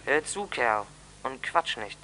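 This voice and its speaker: A man's voice: medium pitch, animated, slow pace, bright, forceful tone, rough recording. An actor playing a menacing thief in a children's radio play, curt and sneering as he makes threats. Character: menacing male thief making threatening phone call. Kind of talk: 1980s German children's radio play acting.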